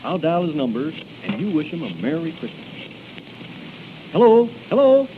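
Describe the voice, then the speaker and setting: A man's voice: fairly high pitch, huge pitch swings, moderate pace, slight background noise. A man hosting in 1930s radio style, theatrical as he moves between comic banter and announcing. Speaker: radio show host and announcer. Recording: tinny 1930s radio broadcast, loud music.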